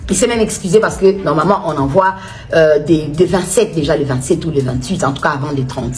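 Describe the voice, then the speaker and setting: A woman from Kinshasa making an anxious appeal as a mother, halting and full of restarts. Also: low voice, a little mellow, soft background music. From Congo (Kinshasa). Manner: halting, distressed, many restarts. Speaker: mother of a missing student. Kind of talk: personal appeal video.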